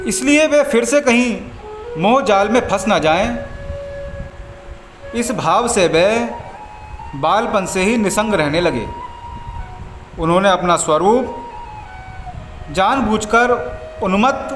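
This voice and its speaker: High-pitched echoey male devotional storyteller. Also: a bit bright. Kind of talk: scripted religious story narration. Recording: echoey voice over background music. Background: background music.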